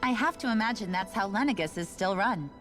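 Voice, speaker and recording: A woman's voice: mid-range voice, expressive, a little fast, a bit bright, close to an everyday voice. An actress voicing a casual, resigned woman station worker in a video game. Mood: offhand. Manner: casual, offhand, matter-of-fact. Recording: game audio with faint music.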